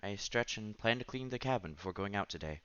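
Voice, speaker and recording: Low-voiced man reading a visual novel aloud. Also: expressive, fast, sharp tone, clear, resonant tone. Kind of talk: playthrough narration, reading story text aloud with casual reactions. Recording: dull lo-fi sound with game music behind. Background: visual novel background music.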